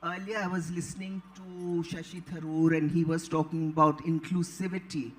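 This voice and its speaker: An elderly woman with an Indian accent speaks as an author on a live panel. She tells her stories in a deliberate, articulate manner, often wandering into side remarks before returning to the point. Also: low-pitched, little pitch movement, rough recording. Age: late 70s. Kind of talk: anecdotal storytelling at a live panel.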